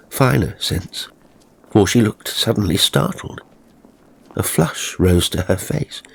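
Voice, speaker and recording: Guy reading old ghost story, real low n creaky, genteel dramatic storyteller delivery. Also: darkish tone. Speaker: narrator. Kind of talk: scripted ghost story narration.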